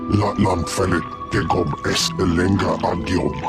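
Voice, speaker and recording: An actor voicing a gruff alien creature in a video game, gravelly and heavy, speaking an invented tongue. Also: male voice, low voice, steady tone, measured pace, loud music behind the voice. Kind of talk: video game cutscene acting.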